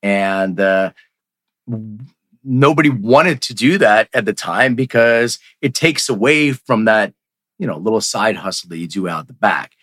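A man's voice: lowish voice, lively intonation, a little slow, a bit bright, no room sound. A man hosting a podcast in a casual, rambling conversational interview style. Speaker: podcast host.

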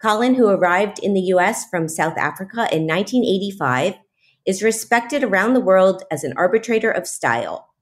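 A woman's voice: mid-range voice, expressive, normal speed. A woman hosting an interview podcast, reading introductions and talking with her guest. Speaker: podcast host.

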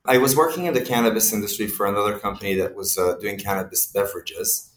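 A man from Israel speaks in a lowish voice, explaining his work in a thoughtful, halting way full of small fillers. He sounds a little distant from the mic. His voice carries a noticeable roomy echo. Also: a little hiss or hum. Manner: thoughtful, many fillers and restarts. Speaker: company CEO, guest. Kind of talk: interview answers.

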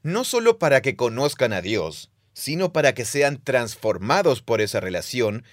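A man's voice: mid-range voice, very animated, normal speed, no room sound, clean studio sound. A man co-hosting a conversational podcast, explaining ideas in a thoughtful, teacherly way and laughing easily. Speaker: podcast co-host, Bible teacher.

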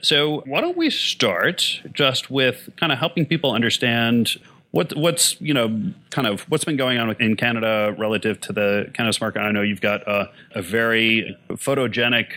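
A man's voice: fairly low pitch, pushed and bright. A man hosting an interview podcast, chatting loosely with many fillers and restarts. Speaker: podcast host. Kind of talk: casual interview chat.

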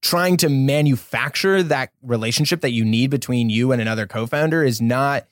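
A man's voice: mid-range voice, moderate pace, dry recording, clean studio sound. A man with an American accent, a startup founder explaining things earnestly in a casual interview.

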